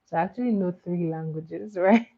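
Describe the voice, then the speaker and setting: A woman with Igbo as her chats easily with her livestream viewers in a lowish voice, giggling and doubling back on her words. The sound is dull and lo-fi, like a home stream with a basic mic. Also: moderate pace, vocal fry. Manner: chatty, giggly, repeats words. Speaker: livestream host. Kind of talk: casual chat with viewers.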